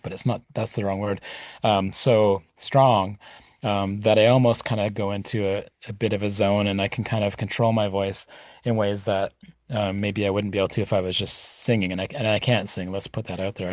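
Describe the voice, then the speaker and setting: A man's voice: lowish voice, darkish tone, no echo, studio quality. A middle-aged man speaking as a podcast guest, reflective and rambling with plenty of fillers. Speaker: podcast guest, punk musician. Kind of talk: casual interview talk.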